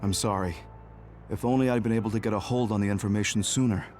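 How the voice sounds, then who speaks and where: A man playing a composed, guarded senior officer in a video game, talking in a measured, explanatory way. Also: fairly low pitch, steady tone, darkish tone, faint music behind, close to an everyday voice. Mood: apologetic. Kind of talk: English video game voice acting.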